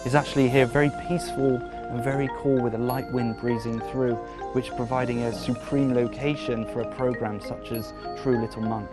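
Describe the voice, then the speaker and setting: A man presents to camera outdoors in a formal, measured voice, sometimes circling back over his phrases. His pitch sits in the middle of the male range, and faint music runs quietly beneath him. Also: steady tone. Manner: jokes and chats cheerfully with children. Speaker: documentary presenter and observer. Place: outdoors at a rural forest monastery.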